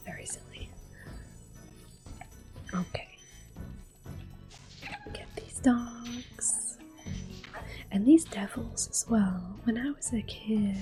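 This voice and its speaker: A woman speaks softly and breathily in an ASMR style, often slipping into a whisper. Her delivery is slow and gentle, at an ordinary pitch, as she comments on gameplay. Game music plays clearly behind her. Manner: gentle, unhurried. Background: soft video game music.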